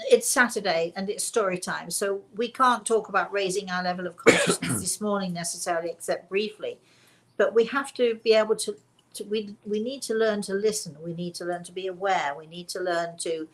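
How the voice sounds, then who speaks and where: A woman hosting a casual spiritual talk, speaking deliberately and repeating phrases for emphasis. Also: mid-range voice, low-quality recording. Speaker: host and spiritual teacher.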